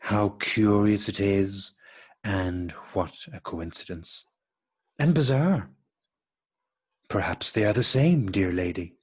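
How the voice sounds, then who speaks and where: Casting: male actor for bemused, very proper husband in absurdist radio drama. Husky, unhurried delivery, formal and repetitive. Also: fairly low pitch. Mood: bemused, deliberate. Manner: formal, deliberate, repetitive politeness. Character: courteous, bemused married man piecing together a coincidence. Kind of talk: absurdist stage dialogue played as radio drama.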